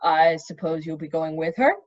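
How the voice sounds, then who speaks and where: A woman's voice: low voice, lo-fi sound, voice pushed down, natural voice. A woman voicing an earnest, awkward young forest king with a hesitant manner in a storybook reading. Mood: nervous, tender. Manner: hesitant, halting.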